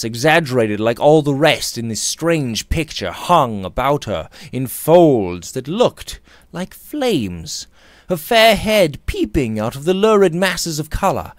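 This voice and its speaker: A man in his thirties narrating a Victorian novel theatrically, with measured, emphatic phrasing, close to the microphone in a small room. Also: a little high, animated, dry recording. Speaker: audiobook narrator. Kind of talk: dramatic reading of a Victorian novel. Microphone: studio condenser microphone on a boom arm, close up.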